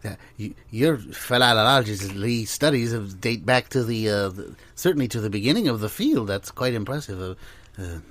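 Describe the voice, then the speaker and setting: A man's voice: fairly low pitch, animated, normal speed, hardly any pauses, fairly bright tone, no room sound. A man playing a flustered, apologetic academic researcher, stammering formally through an improvised comedy interview. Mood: flustered, hesitant.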